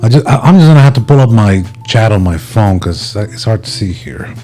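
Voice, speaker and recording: A man's voice: on the low side, lively intonation, brisk, warm tone, faint music behind. A man hosting a livestream, chatting casually and informally with his viewers as if they were buddies. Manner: informal, laid-back, addresses viewers as buddies. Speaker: livestream host.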